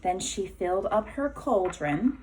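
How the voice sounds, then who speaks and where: A woman reads a picture book to young children in a teacherly way. She goes slowly, stretching key words, and her pitch moves in lively swings. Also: a little hiss or hum, poor audio. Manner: emphatic, drawn-out key words. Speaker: kindergarten teacher reading aloud. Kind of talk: expressive storybook narration for young children.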